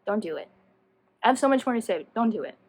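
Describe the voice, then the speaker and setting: High-voiced woman rambling animatedly about books. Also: fast. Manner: animated, rambling, slangy, self-interrupting. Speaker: book vlogger. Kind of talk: casual, chatty book review monologue to camera.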